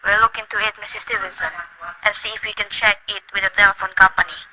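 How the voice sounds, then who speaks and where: An actor playing a weary, patient desk police sergeant who talks in a calm, placating, slightly patronizing way in an amateur radio play. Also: male voice, sits high, quick pace, background noise, close to an everyday voice.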